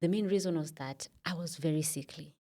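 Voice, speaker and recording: A woman's voice: sits low, a little fast, mellow. A Kenyan woman around thirty, a podcast guest in a studio, telling her story in a reflective way with many fillers. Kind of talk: casual interview talk.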